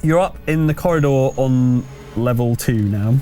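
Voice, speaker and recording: Guy game mastering a tabletop rpg, kinda hesitant chatty narration, average pitch, faint music. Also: normal speed, warm tone.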